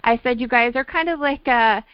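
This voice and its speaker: A woman's voice: fairly high pitch, moderate pace, clean tone, dry recording, dull audio. A woman hosting a radio show, chatting to a band on the line with rambling restarts. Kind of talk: radio interview.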